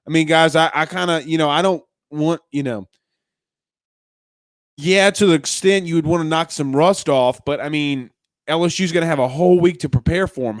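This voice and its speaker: Man, young adult, slightly congested, smooth voice, dry close mic, rambling sports podcast host. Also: fairly high pitch, no echo. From Louisiana. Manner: rambling, restarts sentences, calls listeners man and bro. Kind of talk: casual live-stream chat reading comments.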